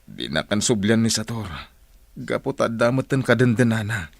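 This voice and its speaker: A remorseful, pleading village husband from a radio play, acted by a man. His voice is husky and gravelly, and it swings widely in pitch with emotion. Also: lively intonation, moderate pace. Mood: subdued, bitter. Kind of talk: radio drama acting in Ilocano.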